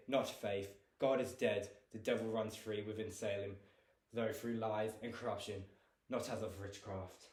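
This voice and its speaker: A man's voice: low voice, close to an everyday voice. A young man performing a guilt-ridden condemned man in a jail cell, solemn and pleading. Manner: solemn, builds to furious shouting. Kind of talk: dramatic monologue performance. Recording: roomy echo.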